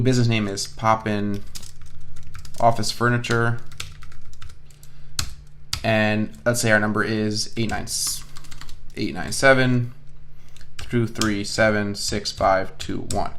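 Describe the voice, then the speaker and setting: A man teaching an online software lesson, explaining casually and thinking aloud as he works through the steps. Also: on the low side, vocal fry, a little hiss or hum. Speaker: online course instructor. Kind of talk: screen-share software tutorial narration. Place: indoors at a computer, voice-over of screen recording.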